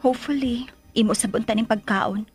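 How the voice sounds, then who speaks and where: An actress with a Filipino accent playing a devoted, guilt-ridden pregnant mistress in a radio drama, pleading sweetly and emotionally. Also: female voice, a little high, fairly quick, a little mellow, husky, soft background music. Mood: apologetic, soft.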